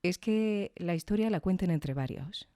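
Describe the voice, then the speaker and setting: Female podcast host, mellow smooth voice, reflective solo reviewer, conversational delivery. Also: lowish voice, animated, unhurried, no room sound, studio quality. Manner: reflective, frequently seeking agreement with tag questions.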